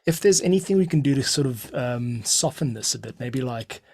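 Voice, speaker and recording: A man's voice: mid-range voice, animated, normal speed, darkish tone. A man hosting and producing a podcast, chatting casually and giving informal, encouraging direction to a guest. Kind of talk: casual chat, coaching a guest.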